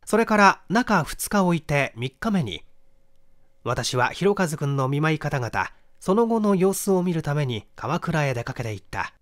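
A man giving a measured, expressive reading of a literary detective story as narrator. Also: fairly high pitch. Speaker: audiobook reader, stage actor.

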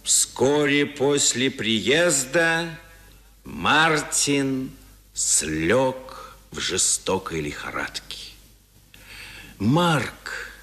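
An actor narrating a literary radio drama as an expressive, dramatic storyteller. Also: male voice, mid-range voice, heavily acted. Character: expressive storyteller of a Dickens tale.

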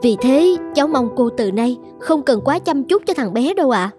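Woman as a bright-voiced, earnest young cartoon mother. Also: high-pitched, animated, moderate pace, faint music behind. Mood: firm, polite. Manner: polite, reasoning, firm. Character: earnest, firm young mother of a small boy. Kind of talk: scripted cartoon dialogue.

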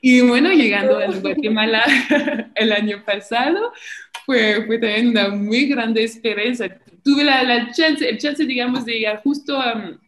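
A woman's voice: on the high side, measured pace, fairly bright tone, reverberant. A woman from France with a French accent, a native French speaker, talking chattily as an interview guest over a video call, often restarting her phrases. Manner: chatty, hesitant with many restarts, laughs often. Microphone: laptop mic on a video call.

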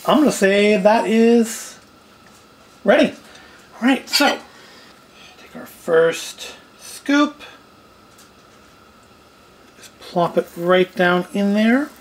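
A man's voice: high-pitched, animated, very slow, pauses often. A man hosting a homemade food video, rambling casually and wryly as he thinks aloud while cooking. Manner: wry, self-questioning, thinking aloud. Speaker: host of a food review video. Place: indoors at home, at a table and later in a kitchen.

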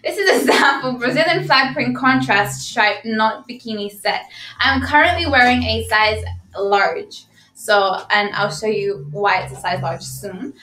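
A woman's voice: on the high side, measured pace, sharp tone, far from the mic. A British woman in her twenties, chatting informally as she presents products on a live stream. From London, England. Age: 20s. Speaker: live shopping co-host and model. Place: indoor studio set. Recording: echoey room, off-mic, music.

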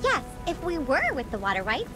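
A woman's voice: sits high, wildly swooping pitch, a little fast, music in the background. A woman voicing a dry, impatient mage companion in a game.

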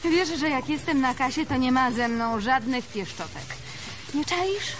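An actress playing a brash, scornful young shop cashier in a radio play, slangy, mocking and sassy. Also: female voice, high voice, expressive, unhurried. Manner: drawling, dismissive, sassy. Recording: loud music under the voice.

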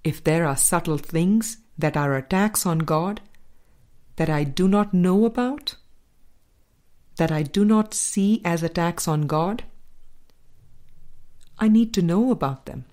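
A woman reads a book aloud as narrator at a very unhurried pace. Her voice sits a little low, and her delivery is scripted and measured. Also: expressive, darkish tone. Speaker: audiobook narrator.

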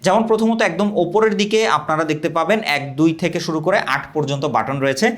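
A young man from Bangladesh giving an energetic, scripted product review and sales pitch. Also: fast talker.

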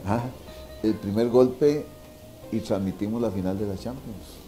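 Mellow older Costa Rican man telling stories. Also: fairly low pitch, unhurried, faint music behind. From Costa Rica. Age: 60s. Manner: repeats phrases for emphasis, tag questions. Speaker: interview guest, veteran sports journalist. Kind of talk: casual anecdote telling. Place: wine bar dining room, indoors.

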